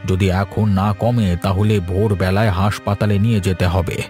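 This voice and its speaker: A man playing a stern, blunt village doctor in a Bengali animated cartoon, speaking with grave authority. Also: lowish voice, moderate pace, faint music behind, natural voice.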